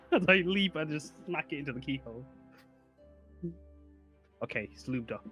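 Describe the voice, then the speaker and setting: A man bantering casually as a player in a tabletop game, excitable and goofy. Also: a little high, normal speed, soft background music.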